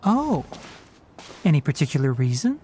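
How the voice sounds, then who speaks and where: A man voicing a young forest ranger in a video game, calm and scholarly, with a crisp, blunt, matter-of-fact way of explaining. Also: on the high side, moderate pace, very noisy. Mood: instructive, blunt.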